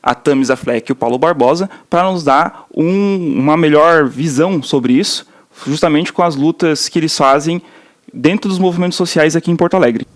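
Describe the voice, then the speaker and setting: Relaxed young man hosting a podcast. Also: a little high, normal speed. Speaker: podcast co-host, doctoral student.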